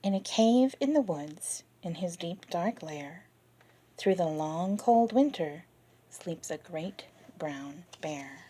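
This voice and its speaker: A woman reading a children's picture book aloud, talking directly to the kids and dropping to a soft whisper on the refrains. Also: lowish voice, slight background noise. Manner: slow, expressive, talks directly to children.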